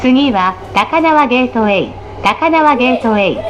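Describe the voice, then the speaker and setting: A woman reading a scripted train announcement, polite, formal and clearly enunciated. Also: expressive, fast talker, dull audio. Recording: clear train noise throughout. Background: rumble of a moving train carriage.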